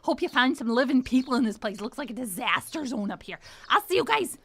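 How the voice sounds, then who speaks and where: A woman's voice: high-pitched, expressive, fairly quick, a bit bright, slightly rough, no echo, exaggerated voice. A woman playing a brash, breezy, mildly put-out barista in an improvised radio drama, blunt and offhand. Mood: exasperated, breezy.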